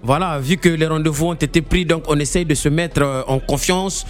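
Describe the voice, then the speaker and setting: A man's voice: a little high, fairly quick. A man from Brazzaville, talking as a radio guest and repeating phrases for emphasis. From Brazzaville, Congo. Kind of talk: conversational radio interview. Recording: faint music behind the voice. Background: music.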